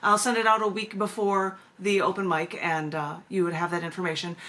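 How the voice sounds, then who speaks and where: A woman's voice: fairly low pitch, level tone, a little fast, fairly bright tone. A woman hosting a poetry open mic over a video call, talking in a chatty, rambling way with effusive thanks. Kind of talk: casual hosting of a virtual poetry meeting. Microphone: video call microphone.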